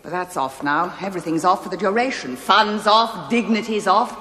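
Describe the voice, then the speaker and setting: An actress playing an imperious, middle-aged aristocratic English widow in a television play, with an upper-class accent and clipped, fretful delivery. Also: female voice, medium pitch, normal speed, sharp tone, natural voice. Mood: haughty, fretful. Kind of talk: theatrical television play dialogue. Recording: echoey stone hall, faint noise.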